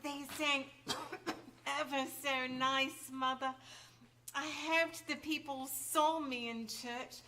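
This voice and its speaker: A high, bright little boy, played by a woman, frail, sweet and full of hope. He speaks very slowly and earnestly, as in an old-fashioned radio play on stage, with some noise behind him. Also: fairly even intonation, heavily acted. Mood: earnest, gentle. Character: small frail pious boy, sweet and hopeful. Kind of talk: stage radio drama acting.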